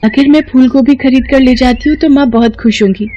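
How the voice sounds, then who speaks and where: A woman voicing a cheerful, kind, thrifty young village girl in an animated moral story, with music behind. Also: high voice, a little fast, muffled recording, slight background noise, pitched above the performer's own voice, close to an everyday voice. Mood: thoughtful, kind.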